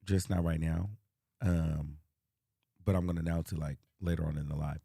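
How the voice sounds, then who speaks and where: A man hosting a casual livestream as a life coach, talking softly and hoarsely because his voice is mostly gone. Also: a bass voice, steady tone, normal speed, dry recording, clean studio sound. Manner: soft, subdued, talking through a lost voice.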